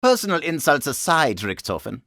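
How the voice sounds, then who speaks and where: An actor playing a composed, self-assured scientist with formal, measured diction in a video game. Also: male voice, on the high side, very animated, fairly bright tone, dry recording, studio quality. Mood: coolly assured. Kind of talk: dramatic game voice acting.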